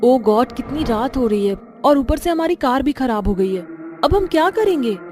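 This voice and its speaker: A woman voicing a frightened, anxious young woman in an animated horror story. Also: high voice, normal speed, slight background noise. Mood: worried. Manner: anxious, exclaiming. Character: frightened young woman stranded on a night road trip.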